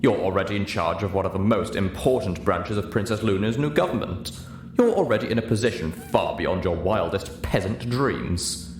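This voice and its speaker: Man voicing a pompous low-voiced old unicorn prince. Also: expressive, moderate pace, close to an everyday voice. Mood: scornful. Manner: pompous, self-admiring. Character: haughty, vain elderly unicorn stallion prince. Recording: roomy echo, clear background hiss.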